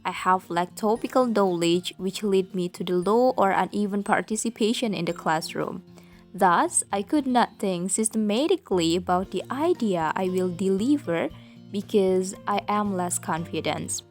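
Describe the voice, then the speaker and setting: A woman's voice: medium pitch, lively intonation, moderate pace, a little mellow, faint music behind. A young woman with an Indonesian accent, a student presenting in careful, rehearsed learner's English. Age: young adult. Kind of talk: prepared presentation.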